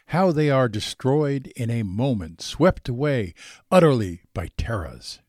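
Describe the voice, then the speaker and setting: A man gives an expressive, dramatic reading of scripture. He takes his time with each phrase, and the sound is dry with hardly any room on it. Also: mid-range voice, a little slow, dry recording. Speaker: scripture reader.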